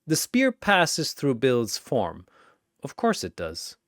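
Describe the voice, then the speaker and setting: A male narrator delivers dramatic battle storytelling in short, theatrical phrases. His pace is unhurried and his pitch very animated, with a dry, close sound. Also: no room sound. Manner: theatrical, clipped announcer phrasing.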